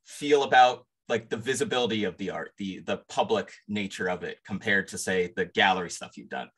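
Host: young man, lowish voice, relaxed and thoughtful interviewer on a webcam call. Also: brisk, slightly rough. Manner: thoughtful, loosely phrased questions. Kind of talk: casual interview questions. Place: indoors, plain wall. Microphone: video call webcam mic.

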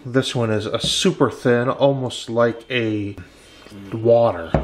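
Man, woodworking host, casual demo, relaxed, lots of ums, workshop bench, off-camera mic. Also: medium pitch. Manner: relaxed, many fillers and restarts. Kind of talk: casual how-to demonstration.

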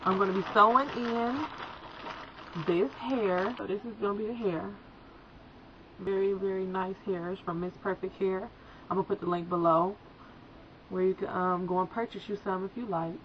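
A woman's voice: mid-range voice, steady tone, normal speed, pauses often, a little hiss or hum. Young woman with a slight cold, lo-fi. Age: young adult. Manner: chatty, addresses viewers as guys. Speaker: hair tutorial vlogger. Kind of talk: casual how-to talk. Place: small indoor room by a folding screen. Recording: dull lo-fi sound with music behind.

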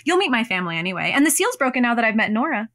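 A woman's voice: a little high, sing-song, very fast, fairly bright tone, dry recording, studio quality. A woman playing an affectionate, teasing girlfriend in an audio drama. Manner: playful, affectionate. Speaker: producer's girlfriend. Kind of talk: semi-scripted audio drama.

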